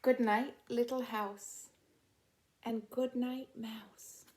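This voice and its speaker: A woman's voice: on the high side, steady tone, extremely slow, slight background noise. A middle-aged woman reading a picture book aloud to children, talking simply and directly to her young listeners. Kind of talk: read-aloud storytime for children.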